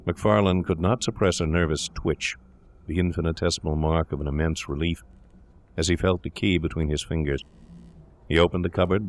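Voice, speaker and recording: Deep-voiced man narrating a gothic tale. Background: eerie ambience.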